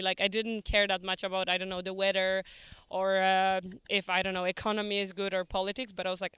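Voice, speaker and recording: A woman's voice: mid-range voice, bright, forceful tone, clear, resonant tone, no room sound. A young woman from Poland, chatting casually in a street interview with frequent laughs and fillers.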